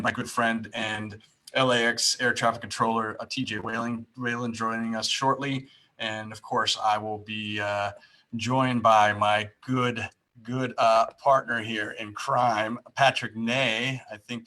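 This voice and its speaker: A man's voice: fairly low pitch, pushed and bright. A man hosting a casual live stream, talking informally and often repeating his phrases. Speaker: live show host.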